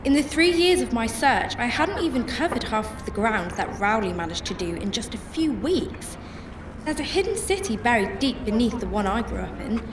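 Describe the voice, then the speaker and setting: A woman playing a grieving mother searching for her missing husband in a radio drama, with a halting, emotional delivery. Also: fairly high pitch, animated, background noise, close to an everyday voice. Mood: reflective, wistful. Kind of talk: radio drama acting and first-person narration. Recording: music under the voice.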